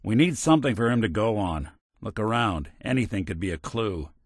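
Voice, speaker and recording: Old-school american noir detective robot for a game, lowish voice, really lively intonation, wry and streetwise but decent. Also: male voice, brisk, no echo. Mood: focused, investigative. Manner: dry, wry, streetwise slangy delivery. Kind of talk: 1940s hardboiled detective video game acting.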